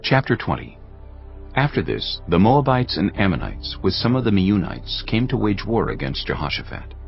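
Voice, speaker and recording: A male narrator with a very deep voice that moves expressively through its range. He reads scripture in a measured, storytelling manner. The recording sounds slightly muffled, with faint music underneath. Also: big pitch swings, gravelly.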